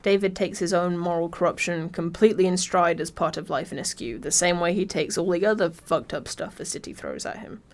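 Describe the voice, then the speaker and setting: A woman's voice: on the low side, fairly quick, hardly any pauses. Wry woman narrating a video essay.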